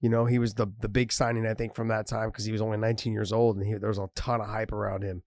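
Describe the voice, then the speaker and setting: A man in his forties, a former fighter co-hosting a casual sports podcast, laid-back and quick to laugh. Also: fairly low pitch, little pitch movement, darkish tone, dry recording, studio quality.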